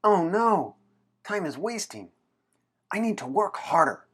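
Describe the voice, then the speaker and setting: A man's voice: high-pitched, sing-song, unhurried, fairly bright tone, breathy edge, voice pushed up, exaggerated voice. Man playing a serious, fussy little boy. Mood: frustrated, urgent. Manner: formal, precise, exasperated.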